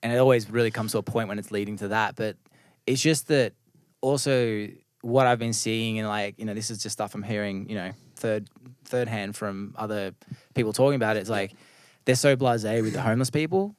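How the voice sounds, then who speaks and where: A man hosting a podcast in an easy, conversational way, blunt and quick to curse. His voice is smooth and clear at a middle pitch, heard dry and close to the mic. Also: dry recording. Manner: blunt, swears freely, jokey. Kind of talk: casual chat.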